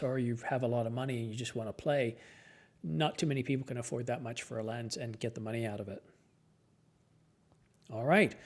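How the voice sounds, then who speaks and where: A man's voice: medium pitch, a little mellow. A tired man hosting a late-night live stream, chatting casually and answering viewer comments as his voice starts to go.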